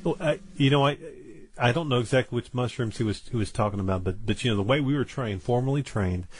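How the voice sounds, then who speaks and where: Husky man, halting and repetitive. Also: mid-range voice, a little mellow. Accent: Southern American. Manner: halting, many repeated words, tells anecdotes. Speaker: Chinese medicine practitioner, co-host. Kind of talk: casual radio talk.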